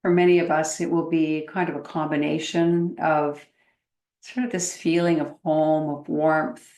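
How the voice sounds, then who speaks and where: A woman meditation teacher leading a guided meditation in a gentle, unhurried manner with long pauses, in a roomy, echoey recording. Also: low-pitched, flat delivery.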